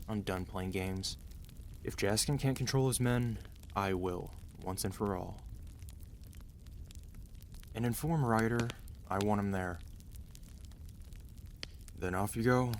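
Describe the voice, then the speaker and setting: A man acting out a weary, protective mafia boss husband in an ASMR roleplay, commanding and dry yet gentle and intimate. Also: on the low side, slow, long pauses, slight background noise. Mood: stern, businesslike. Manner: tired, authoritative, dry, intimate.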